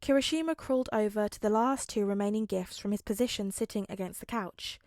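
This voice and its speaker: A woman's voice: mid-range voice, a little fast, a little mellow, no echo. A woman reads a fan story aloud in audiobook style, narrating expressively and voicing the characters' lines herself. Her voice sits in a middle register. The recording is dry, with no room sound. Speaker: podfic reader. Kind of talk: audiobook fanfic reading.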